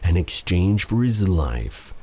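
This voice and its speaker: A man playing a vengeful, silky dark sorcerer in a fantasy ASMR roleplay, taunting and theatrical, with breathy dips toward a whisper. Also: sits low, sing-song, full character voice. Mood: possessive, threatening. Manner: taunting, theatrical, drawn-out delivery with purred endearments.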